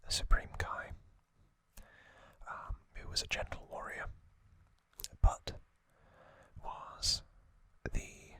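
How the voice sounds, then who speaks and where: A man hosting ASMR in a breathy whisper, gently recapping trivia in short, halting phrases. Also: no echo. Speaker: ASMR host.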